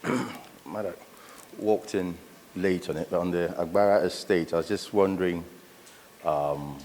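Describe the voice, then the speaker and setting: A man's voice: low voice, in a large hall, slight background noise. A man in the audience asking a question at a conference, hesitant and thinking aloud.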